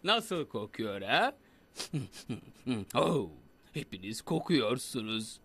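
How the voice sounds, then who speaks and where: A man voicing a mocking, bat-costumed rival hero in a dubbed cartoon, taunting in drawn-out phrases. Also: medium pitch, sing-song, fairly bright tone, slight background noise, exaggerated voice.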